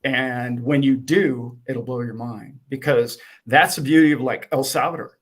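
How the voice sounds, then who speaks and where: A man's voice: mid-range voice, a little slow. A man explaining to a host with animation, acting out little exchanges as he goes. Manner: animated, acts out dialogue. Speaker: guest.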